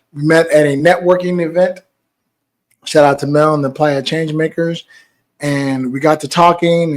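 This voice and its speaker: A man hosting a travel podcast, talking in a hyped, playful way, sitting down with a guest. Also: on the high side. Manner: hyped, playful, laughs easily. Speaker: podcast host. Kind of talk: casual podcast chat.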